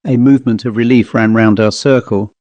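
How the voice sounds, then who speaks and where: A man reading a ghost story as scripted narration, in a measured and deliberate way. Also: medium pitch, fairly even intonation, a little mellow, no room sound. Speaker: story reader.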